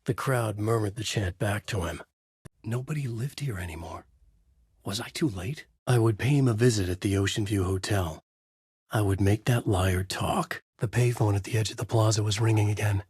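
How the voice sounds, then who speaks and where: A haunted male horror writer narrates a video game's story in a measured, brooding way. His voice sits low and has a husky edge. It was recorded dry in a studio booth. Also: a little mellow, no room sound. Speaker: video game character narrating. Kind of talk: game voice lines, dark narration. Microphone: studio mic.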